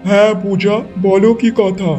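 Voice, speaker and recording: High, mellow-voiced cold young husband acted by man. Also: flat delivery, moderate pace, soft background music, natural voice. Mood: attentive. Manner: curt, demanding. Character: cold young husband siding with his mother. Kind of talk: cartoon moral story acting.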